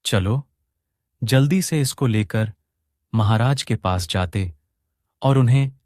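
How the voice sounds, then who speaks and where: A man's voice: mid-range voice, slow, darkish tone, no echo, clean studio sound, close to an everyday voice. A man doing a brave, curious cartoon boy. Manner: bold, reassuring. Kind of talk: cartoon storybook voice acting.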